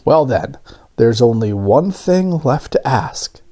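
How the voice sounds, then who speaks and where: A man voicing a mild, kindly, hesitant grown man in a storybook, with gentle, drawn-out phrasing. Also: mid-range voice, very animated. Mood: excited.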